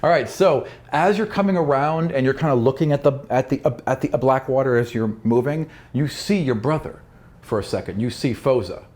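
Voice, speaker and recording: A man's voice: mid-range voice, fairly quick, darkish tone. Man narrating a tabletop fantasy game. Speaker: game master.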